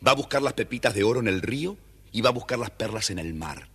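A man's voice: lowish voice, animated, quick pace, a bit bright, slightly rough. A man with an Argentine accent, narrating a children's story dramatically as the storyteller.

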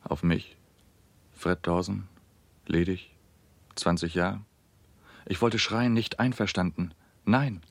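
An anguished young bachelor doomed by plague in a 1960s radio play. The voice is deep, husky and creaking, recorded close and dry. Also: male voice, fairly even intonation, slow, long pauses, no echo, heavily acted. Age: young adult. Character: anguished twenty-year-old bachelor, doomed plague victim. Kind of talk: solemn 1960s German radio play acting.

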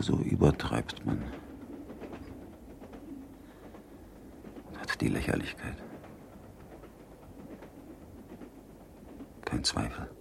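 An actor playing a brooding, duty-bound middle-aged Prussian baron in a German radio play, speaking in a measured, reflective way. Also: male voice, very deep voice, gravelly, a little hiss or hum, full character voice. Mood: doubting. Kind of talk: German radio play acting, interior monologue.

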